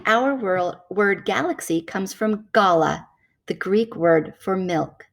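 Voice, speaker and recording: A woman narrates a children's nonfiction book aloud. Her voice sits at a medium pitch, and she reads in a measured, explanatory manner suited to young listeners. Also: creaky.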